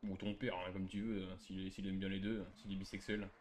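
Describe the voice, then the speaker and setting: A man's voice: low-pitched, little pitch movement, fairly quick, darkish tone, a little hiss or hum. A man talking casually in an online game, blunt, crude and mocking.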